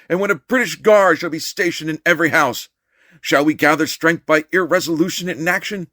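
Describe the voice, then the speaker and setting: A man's voice: fairly high pitch, a bit bright, no room sound, studio quality, lightly acted. A man performing a fervent American revolutionary orator in a dramatic reading, with an impassioned, declamatory, emphatic delivery. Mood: stirring, resolute. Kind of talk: dramatic reading of an 18th-century political speech.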